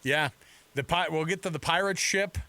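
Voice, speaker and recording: A man's voice: on the high side, normal speed, bright, forceful tone, no room sound. A man hosting a sports talk radio show, chatting informally in a casual, bantering way from a studio. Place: radio studio in Salt Lake City. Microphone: close studio microphone.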